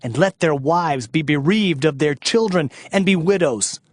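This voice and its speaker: A man's voice: on the high side, a little slow, no room sound, studio quality. A man playing an impassioned Hebrew prophet who solemnly proclaims doom and judgment in a dramatized scripture reading. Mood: bitter pleading. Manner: solemn, declamatory, emphatic. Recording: music bed under the voice. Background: music.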